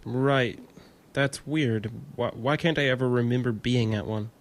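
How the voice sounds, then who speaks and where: A man's voice: medium pitch, measured pace, natural voice. A man acting in an audio drama as an anxious, defensive artificial intelligence, hesitant and stammering, evasive and argumentative. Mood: confused, hesitant.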